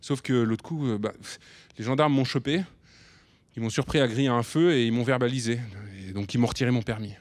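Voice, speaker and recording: An actor playing a sheepish, self-justifying delivery rider in a radio drama, with a hesitant, stammering way of talking. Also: male voice, medium pitch, fairly quick, no room sound, natural voice. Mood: aggrieved. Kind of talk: radio drama, naturalistic confession.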